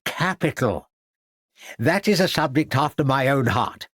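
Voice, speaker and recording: A man's voice: mid-range voice, expressive, unhurried, a bit bright, no room sound, studio quality, voice pushed up. A man voicing a fussy, flustered elderly headmaster who stammers and speaks pompously.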